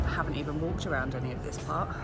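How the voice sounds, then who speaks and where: A woman from England narrates her vlog in a lowish, chatty voice, upbeat and a touch breathless. She sounds a little bunged up. Music plays clearly under her voice. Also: quick pace. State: stuffy, bunged up. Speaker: vlogger and nail educator.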